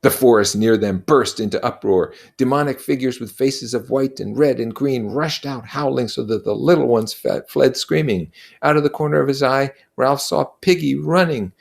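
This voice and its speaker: A man's voice: medium pitch, moderate pace, vocal fry. A man reading a novel aloud as a teacher, with explanatory comments along the way. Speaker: reader and teacher.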